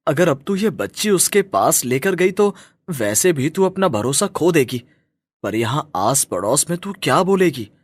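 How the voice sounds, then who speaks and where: A man's voice: a little high, big pitch swings, a little slow, no room sound. A man voicing a disapproving village husband with a troubled conscience, reproachful and questioning, in a moral story. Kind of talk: dramatized Hindi moral story.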